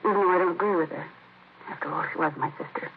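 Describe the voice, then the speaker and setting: Distraught American woman, fast and creaky. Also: on the low side. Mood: agitated, recounting. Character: distraught, disapproving woman, grieving murdered sister, defensive. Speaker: actress. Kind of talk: 1950s radio crime drama acting. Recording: muffled, noisy vintage radio recording.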